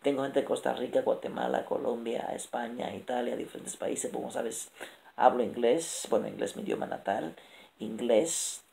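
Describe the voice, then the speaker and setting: A man in his thirties talking straight into a close camera in a small room at night, promoting his book with lively, repetitive motivational lists. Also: medium pitch, moderate pace, gravelly. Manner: energetic, gesturing a lot, repetitive lists. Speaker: author and motivational speaker. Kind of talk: late-night motivational vlog. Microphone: camera held close.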